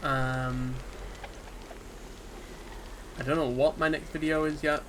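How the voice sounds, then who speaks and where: A man giving an unscripted, subdued and hesitant rant about a game, sounding dejected and pausing often on ums. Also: fairly high pitch, poor audio. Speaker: gaming video creator. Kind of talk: unscripted solo rant to camera. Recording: steady background ambience hiss.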